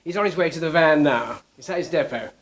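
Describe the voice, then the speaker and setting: A man's voice: on the high side, fast talker, a bit bright, natural voice. A man playing a courteous, helpful English police constable in a British TV sitcom. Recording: noisy old TV sitcom recording.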